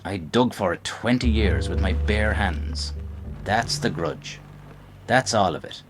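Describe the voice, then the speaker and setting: An actor playing a weary, haunted old police lieutenant in a 1930s noir radio drama, bitter and resigned. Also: male voice, lowish voice, expressive, slow, music in the background. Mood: haunted, grieving. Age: older.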